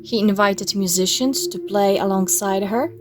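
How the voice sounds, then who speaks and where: A woman narrating a children's story in a measured, expressive read-aloud style. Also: mid-range voice. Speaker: storybook reader. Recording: music playing behind the voice. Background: music.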